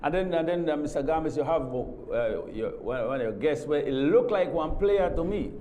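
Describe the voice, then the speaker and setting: A man's voice: a little high, expressive, a little hiss or hum. A man hosting a live morning TV show in a studio, talking casually with guests and often repeating words as he goes. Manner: halting, repeats words, warm welcomes.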